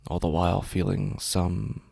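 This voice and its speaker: Casting: male actor as numb, brooding dead man still walking, horror podcast monologue, very creaky low voice. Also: very animated, mellow, no echo, clean studio sound, heavily acted. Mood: brooding, resigned. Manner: slow, halting, sombre, deliberate phrasing. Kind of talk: scripted horror audio drama monologue.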